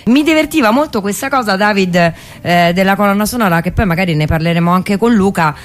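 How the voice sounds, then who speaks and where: A woman's voice: medium pitch, lively intonation, fast talker, soft background music. A woman co-hosting a radio show in casual, enthusiastic, informal chat.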